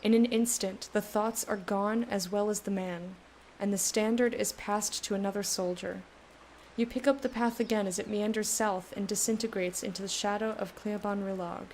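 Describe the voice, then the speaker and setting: A woman's voice: mid-range voice, steady tone, moderate pace, a little mellow, a little hiss or hum, low-quality recording. A woman who hosts a let's play, reading the game's text aloud and chatting casually.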